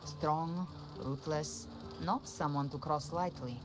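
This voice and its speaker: A woman's voice: deep voice, slow. An actress playing a cool, confident lone adventurer woman in a fantasy video game. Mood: cool, assured. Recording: game music over dialogue.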